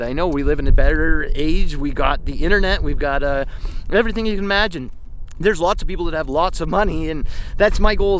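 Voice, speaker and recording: A man's voice: a little high, low-quality recording. A man talking casually as a vlog host, thinking aloud in an informal, digressive monologue while out on a walk. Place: outdoors, on a walk. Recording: clear background noise, outdoor ambience.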